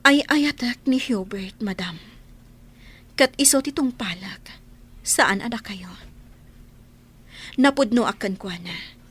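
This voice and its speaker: High, earnest young Ilocano woman played by a woman. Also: slow, long pauses, breathy edge, exaggerated voice. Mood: earnest, resolute. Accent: Ilocano Filipino. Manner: polite, earnest, calmly firm. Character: sincere, steadfast young student woman in love. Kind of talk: Filipino radio drama acting.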